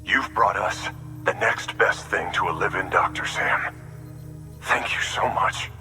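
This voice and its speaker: A man's voice: on the low side, a little slow, far from the mic, music in the background, natural voice. An actor playing an anxious, grateful expectant father in a video game drama, speaking earnestly and urgently. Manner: earnest, urgent, breathy relief.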